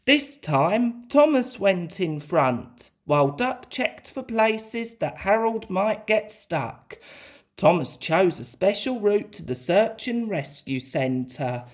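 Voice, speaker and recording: A woman reading a children's storybook aloud in an expressive, deliberate way. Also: medium pitch. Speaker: storybook reader.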